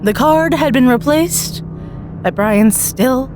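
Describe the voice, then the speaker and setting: A woman reading a scripted horror story expressively, with dramatic pauses. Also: high voice, lively intonation. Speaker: story narrator. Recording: music bed behind the voice. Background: background music.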